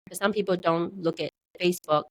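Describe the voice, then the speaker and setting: Soft-voiced woman, halting and lowish. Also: steady tone. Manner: halting, with many fillers and restarts. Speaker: interview guest, meetup organizer. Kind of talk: casual interview conversation.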